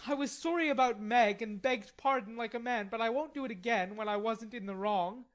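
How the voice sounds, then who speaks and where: A woman voicing a proud, hot-tempered young gentleman who speaks indignantly and defiantly. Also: on the high side, brisk, voice pushed up, close to an everyday voice.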